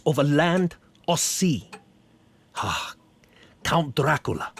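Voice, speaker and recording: An actor playing a grave, commanding older doctor and vampire hunter in a radio horror drama, with a slow, solemn delivery and a husky voice. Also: male voice, fairly high pitch. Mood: grave, brooding. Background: soft background music.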